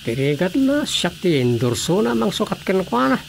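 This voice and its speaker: A man's voice: sits high, very animated, normal speed, creaky, a little hiss or hum, heavily acted. A man playing a weary, dutiful grown son in a Filipino village radio drama, conversational and matter-of-fact, with an Ilocano accent.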